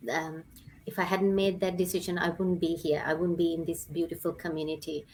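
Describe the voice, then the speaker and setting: A woman's voice: lowish voice, level tone, fairly quick, darkish tone, a little hiss or hum, rough recording. A woman in her forties, originally from Sri Lanka, telling her personal story hesitantly, with many ums and uhs. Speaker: guest sharing her story. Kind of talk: personal testimonial.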